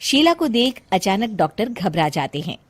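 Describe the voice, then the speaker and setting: A woman's voice: medium pitch, very animated, normal speed, husky, dry recording. A woman narrating a scripted comic story as its storyteller.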